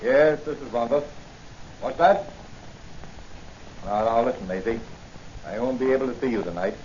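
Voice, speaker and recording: A man's voice: medium pitch, moderate pace, a little mellow, natural voice. A muffled old radio recording with faint noise. An actor plays a breezy, boastful man chatting colloquially on the telephone in 1940s style. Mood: breezy, amused.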